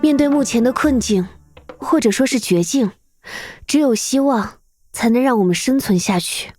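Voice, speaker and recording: High-voiced, dignified beast-folk chieftain. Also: female voice, animated, moderate pace, mellow, no echo, soft background music. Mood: calm, composed. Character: dignified female beast-folk tribe chief, world-weary, protective. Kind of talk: animated fantasy drama acting.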